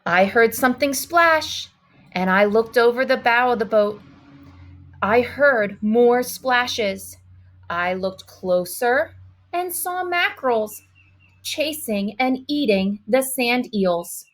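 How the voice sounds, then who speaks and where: High-voiced woman reading a storybook slowly. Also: lively intonation, a bit bright. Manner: dramatic, with exclamations and emphatic slow words. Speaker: storybook reader. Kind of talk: expressive children's read-aloud narration.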